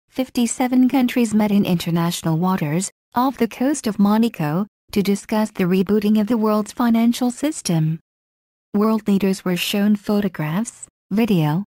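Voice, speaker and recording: A woman reading a blog article aloud in a steady, even manner. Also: mid-range voice, no echo, studio quality.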